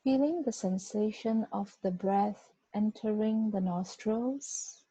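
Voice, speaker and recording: Very dark-voiced, slow woman guiding meditation. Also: medium pitch, slightly rough, dry recording. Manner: gentle, unhurried instruction with long pauses. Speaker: meditation guide. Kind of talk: guided body scan meditation. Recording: dull, muffled lo-fi recording.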